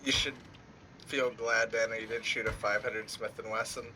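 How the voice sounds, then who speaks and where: A man chatting casually over voice chat, animated and explaining things in detail. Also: on the low side, normal speed, background noise.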